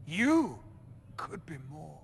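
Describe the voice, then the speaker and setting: High-voiced, dying, pious chancellor. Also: male voice, big pitch swings, extremely slow, creaky, a little hiss or hum, heavily acted. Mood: wondering, fragile. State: gasping, weak, wounded. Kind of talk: dramatic video game cutscene acting. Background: music.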